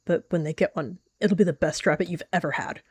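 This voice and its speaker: A woman plays a secretive she-cat warrior in an animal roleplay game. She speaks in a slightly low female voice, measured and quietly reassuring, with a very dry sound. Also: slightly rough, no echo. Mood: composed.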